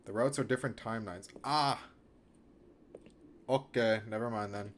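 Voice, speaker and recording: A man's voice: lowish voice, moderate pace, slight background noise. A man streaming a video game, reading aloud and reacting, often restarting his sentences as he thinks aloud.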